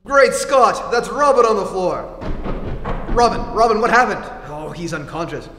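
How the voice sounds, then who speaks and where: A man's voice: sits high, lively intonation, moderate pace, fairly bright tone, soft background music. A man playing an earnest, upright reporter hero in theatrical 1940s radio-play style. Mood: alarmed, heroic. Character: Clark Kent, upright concerned adult male reporter hero. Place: theatre stage before an audience. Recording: echoey hall, faint stage noise.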